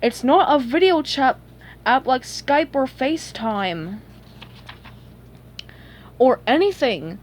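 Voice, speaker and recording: A woman voicing a mystical, laid-back male talking tumbleweed with a measured, cryptic way of speaking. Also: fairly high pitch, a little mellow, natural voice. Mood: exasperated. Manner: cryptic, measured, mildly exasperated.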